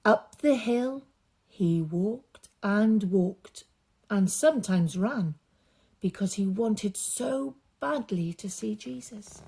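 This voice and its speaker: A woman reading a storybook aloud for young children, with dramatic emphasis and drawn-out repeated words. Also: medium pitch, gravelly, mellow, breathy edge.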